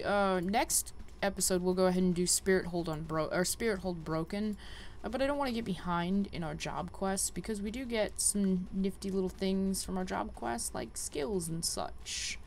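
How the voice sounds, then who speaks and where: A woman's voice: medium pitch, moderate pace, darkish tone. Chatty woman gaming, noise behind. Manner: chatty, thinking aloud. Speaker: gaming video host. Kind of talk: casual game walkthrough commentary.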